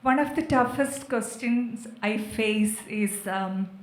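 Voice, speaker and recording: Slow-talking woman, echoey venue. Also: on the high side. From Kerala, India. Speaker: panel speaker. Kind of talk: reflective panel talk. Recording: echoey, amplified festival venue.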